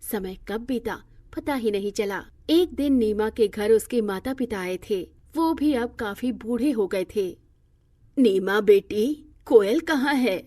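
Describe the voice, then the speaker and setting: A woman's voice: on the high side, expressive, measured pace, few pauses, mellow, dry recording, clean studio sound. A woman reading scripted narration for a bedtime story.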